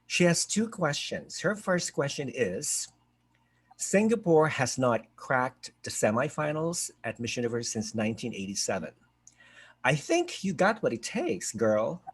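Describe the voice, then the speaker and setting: Animated man hosting a video-call interview. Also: medium pitch, measured pace, slightly rough. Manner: expressive, animated, stumbles and repeats words. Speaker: host, show director.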